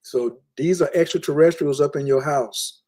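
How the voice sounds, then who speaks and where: A man teaching in an emphatic, lecturing way on a casual podcast, repeating key words slowly. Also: fairly high pitch, mellow. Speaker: spiritual teacher. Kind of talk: casual podcast talk, preaching.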